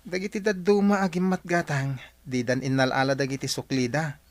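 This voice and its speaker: A man's voice: medium pitch, steady tone, a little fast, lightly acted. A man with an Ilocano accent playing a dutiful, hardworking young village son in a radio drama. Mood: earnest.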